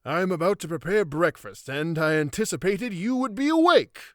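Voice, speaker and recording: A man's voice: high-pitched, lively intonation, normal speed, fairly bright tone, no room sound, studio quality. A man playing a courteous royal host in a fantasy audio drama, formal and polite.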